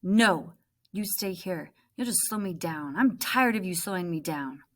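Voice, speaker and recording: A woman's voice: lowish voice, lively intonation, unhurried. A woman voicing a bitter, frustrated refugee boy from a storybook, with an insistent, impatient manner. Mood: weary, impatient.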